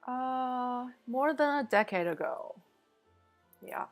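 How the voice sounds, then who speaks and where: Slow, chatty woman. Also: a little high, lively intonation, creaky, soft background music. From Beijing, China. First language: Mandarin Chinese. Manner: chatty, leans on "like" as filler, laughs often. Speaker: game streamer chatting with viewers. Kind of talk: casual live-stream chat.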